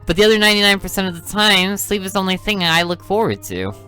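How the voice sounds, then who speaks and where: A man's voice: sits high, big pitch swings, quick pace, pushed and bright, clear, resonant tone, soft background music, voice pushed up, full character voice. A man reading as a bored, sneaky teen girl. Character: restless, sneaky teenage girl, detective's daughter.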